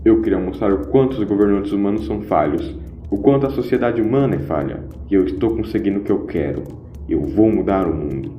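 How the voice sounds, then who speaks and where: A man playing a grandiose renegade celestial being in an audio drama, declaiming with dark, dramatic weight. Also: fairly low pitch, brisk, lightly acted. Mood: menacing. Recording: boxy, echoey lo-fi with music.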